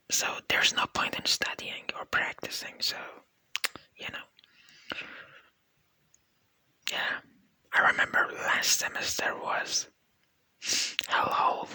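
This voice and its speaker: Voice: no echo, low-quality recording. A young university student who speaks English as a non-native language, whispering a rambling, laid-back ASMR diary vlog close to the mic. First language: not English; Persian is a second language. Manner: soft unvoiced whisper, rambling and laid-back with many fillers.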